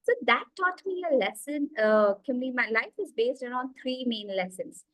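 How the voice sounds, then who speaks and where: A woman's voice: a little high, animated, normal speed, a bit bright, no echo, rough recording. A woman in her thirties with an Indian accent, a guest telling her life story eagerly over a video call, with many fillers. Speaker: guest, executive coach. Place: small room indoors.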